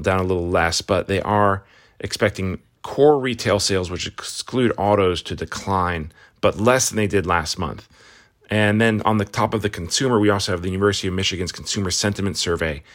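A man hosting a casual morning market rundown, talking conversationally with frequent restarts and fillers, slightly hoarse from a cold. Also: low voice, a bit bright. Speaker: market news host.

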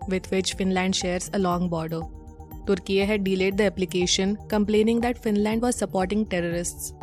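A woman's voice: medium pitch, a little mellow. A woman with an Indian accent reading a scripted news bulletin as presenter.

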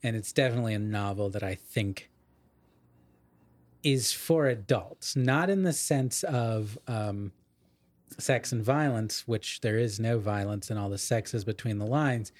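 A man's voice: lowish voice, a little slow, no echo. A middle-aged man co-hosting a podcast, casually discussing literature in an erudite, digressive way full of asides.

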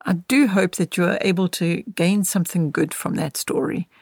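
A middle-aged woman hosting a podcast in a casual, conversational way, gently wishing listeners well and repeating phrases for emphasis. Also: fairly low pitch, moderate pace, creaky, husky, no room sound, studio quality. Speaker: podcast host.

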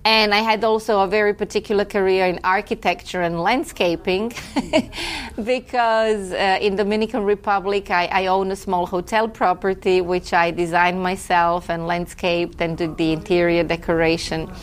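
A woman's voice: mid-range voice, steady tone, normal speed. Woman, reflective and chatty. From Croatia. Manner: reflective and chatty, with occasional laughs and self-corrections. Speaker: guest, film festival director. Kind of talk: relaxed conversational interview.

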